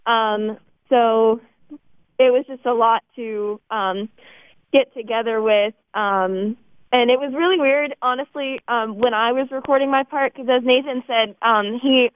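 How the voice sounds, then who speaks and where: A teenage girl with a highish voice answers casually as a student guest. She sounds informal and a little hesitant, through a narrow, phone-like connection. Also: steady tone, unhurried, no echo. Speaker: student actor being interviewed. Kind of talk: casual chat. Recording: tinny phone-like line.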